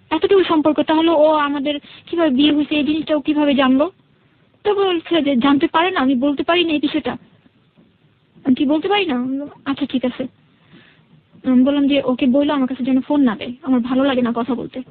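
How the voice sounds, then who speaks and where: High-voiced young Bangladeshi woman recounting her story. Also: narrow, tinny audio, slight background noise. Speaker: guest telling her life story. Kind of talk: conversational personal storytelling, quoting reported dialogue.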